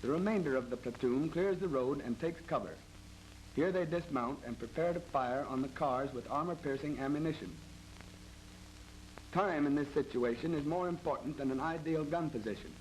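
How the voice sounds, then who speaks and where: An American man narrates a military training film in a formal, measured, matter-of-fact 1930s instructional style. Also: fairly high pitch. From United States. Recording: noisy old 1930s film soundtrack.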